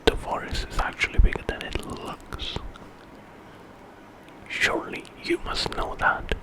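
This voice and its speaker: A man's voice: a little slow, lightly acted, poor audio. Man whispering as a knowing old hermit. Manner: sage, assured. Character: knowing old male hermit, calm and assertive. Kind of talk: whispered ASMR storytelling.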